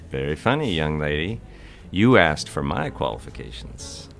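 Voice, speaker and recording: Guy playing smooth young bachelor, deep voice, teasing and polite, old-time romance drama w soft music behind. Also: sing-song, unhurried, exaggerated voice. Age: young adult. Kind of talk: period romantic audio drama acting.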